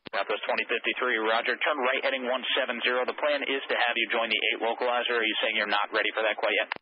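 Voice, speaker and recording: Rapid-fire controller on noisy radio. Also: male voice, mid-range voice, level tone, phone-quality sound. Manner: very fast, routine, with fillers. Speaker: air traffic controller. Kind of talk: radio exchanges with pilots.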